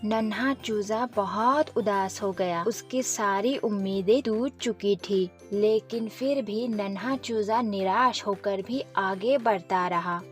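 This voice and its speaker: A woman's voice: mid-range voice, slow, hardly any pauses, soft background music. A woman narrating a scripted children's story as its storyteller.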